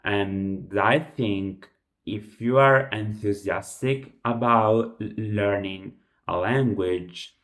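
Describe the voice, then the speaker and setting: A man who is a native Italian speaker with an Italian accent, giving unscripted advice to viewers, halting and often restarting or repeating words. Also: lowish voice. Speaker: language-learning video host.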